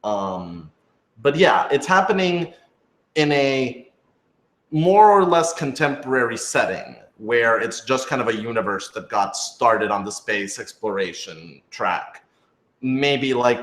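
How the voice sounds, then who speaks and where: A man chatting casually and thinking aloud as a writer answering questions on a panel, in an animated, informal way. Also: fairly low pitch. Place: echoey room, live streamed panel. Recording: roomy, echoey sound.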